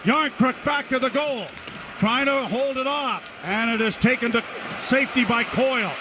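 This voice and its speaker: Male radio hockey announcer, very high voice, excitable and clipped, over noisy broadcast sound. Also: normal speed. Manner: excitable, clipped running description. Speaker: play-by-play announcer. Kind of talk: live radio hockey commentary.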